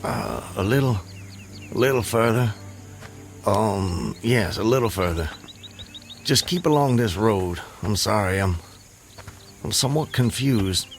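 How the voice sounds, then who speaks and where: A man's voice: on the low side, sing-song, measured pace, long pauses, faint music behind. An actor playing a bewildered, hesitant man walking home in an old-time radio drama, uneasy and questioning.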